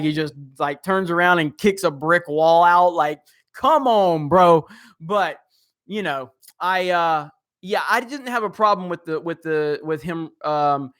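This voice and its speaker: A man's voice: on the high side, fairly bright tone. A man hosting a live stream, reading comments in a rambling, casual way with lots of fillers and chuckles.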